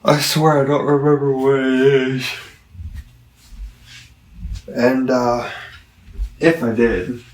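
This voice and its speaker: A man giving a casual, rambling product review, halting and correcting himself as he thinks aloud. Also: mid-range voice, level tone, a little hiss or hum. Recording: roomy, echoing, distant from the mic.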